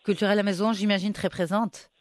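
A man's voice: high-pitched, level tone, a little fast, noisy background. A man interviewing a guest, asking questions hesitantly and searching for words.

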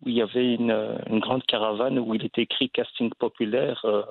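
Gravelly man on a tinny phone line. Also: medium pitch, fairly even intonation, fairly quick, dry recording. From Belgium. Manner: hesitant, many fillers and restarts. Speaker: actor, guest. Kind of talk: casual interview.

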